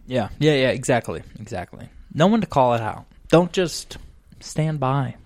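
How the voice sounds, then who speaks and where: A man's voice: mid-range voice, expressive, normal speed, darkish tone, no echo. A man in his late twenties co-hosting a casual podcast over drinks, rambling off on tangents and swearing freely. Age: 20s. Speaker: podcast co-host.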